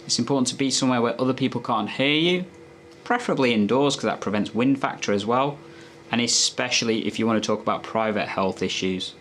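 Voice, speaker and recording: A man's voice: medium pitch, fairly quick, fairly bright tone, slight background noise. A man presenting health tips as a doctor, explaining in a conversational, instructive way to viewers.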